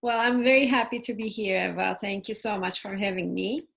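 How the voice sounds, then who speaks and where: A woman from Turkey whose first language is Turkish, a family coach telling her story softly with many fillers over a video call. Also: mid-range voice, steady tone, fairly quick, gravelly. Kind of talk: casual interview conversation.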